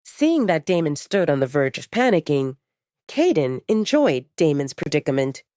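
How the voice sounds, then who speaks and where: A woman's voice: deep voice, sing-song, normal speed, warm tone, dry recording, clean studio sound. A woman reading scripted dramatic fiction as the narrator of an audio story.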